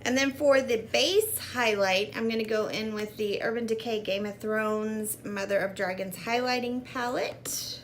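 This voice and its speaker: A woman's voice: on the high side, unhurried, hardly any pauses, slight background noise. A woman in her mid-thirties chatting casually through a makeup tutorial, with self-deprecating asides, recorded by a fixed camera in a small room. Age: 30s. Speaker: makeup vlogger. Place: small room in front of a gray curtain. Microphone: fixed camera at arm's length.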